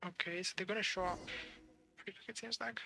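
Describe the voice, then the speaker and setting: A woman's voice: medium pitch, steady tone, fast talker, vocal fry, over music. A woman game streamer giving casual commentary, musing and speculating aloud as she plays.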